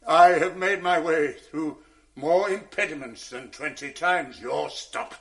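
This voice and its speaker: An actor playing Othello, a proud general, who swings between roaring anguish and low grief in a 1980s radio drama. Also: male voice, takes it slowly, a bit bright.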